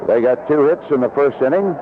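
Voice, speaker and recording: Male sportscaster, baseball play-by-play, lively swooping pitch, short calls, narrow noisy old broadcast. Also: a little fast. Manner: descriptive, short clipped calls. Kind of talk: live radio sports commentary. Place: broadcast position at an outdoor ballpark. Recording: narrow-band vintage radio broadcast, noisy.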